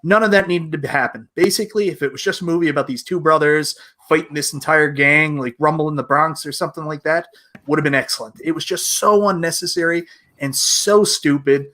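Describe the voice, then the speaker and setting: A man's voice: a little high, a little fast, pushed and bright. A man chatting casually on an online panel, giving blunt, emphatic opinions. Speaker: panelist on an online video game show.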